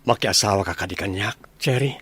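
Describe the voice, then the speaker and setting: A man's voice: on the low side, brisk, fairly bright tone, a little hiss or hum, close to an everyday voice. Man acting earnest devoted young suitor. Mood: loving, happy. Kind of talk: radio-style audio drama acting.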